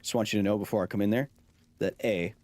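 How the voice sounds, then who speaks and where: A man doing live gameplay commentary, goofy and excitable, who shouts at the game. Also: fairly low pitch, fast talker, background noise. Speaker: streamer.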